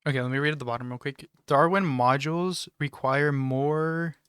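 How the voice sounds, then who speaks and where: A man's voice: medium pitch, steady tone, normal speed, fairly bright tone, smooth, no echo, studio quality. A man hosting a reaction video, chatting casually and commenting on what he watches.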